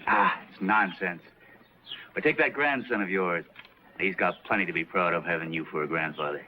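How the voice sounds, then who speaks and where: An actor playing a steady, reassuring Western deputy with an American accent and a plain-spoken manner. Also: male voice, low voice, normal speed, a little hiss or hum, low-quality recording.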